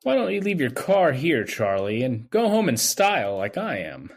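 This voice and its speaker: Male actor as young intern, mild, casual, agreeable, middle pitch, old-time radio drama. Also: wildly swooping pitch, normal speed. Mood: easygoing, agreeable.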